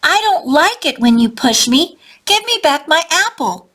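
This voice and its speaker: A woman voicing a small, eager young male bug schoolboy in a storybook, with a high, excited voice. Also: moderate pace, gravelly, husky, full character voice. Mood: bold.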